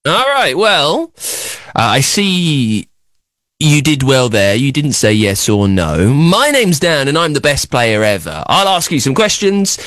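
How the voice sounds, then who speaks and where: Man, kids' radio host, teasing and boastful, very lively swooping voice, mid pitch. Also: measured pace, no echo, studio quality. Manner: teasing, boastful, exaggerated excitement. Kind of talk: playful quiz game with a child caller.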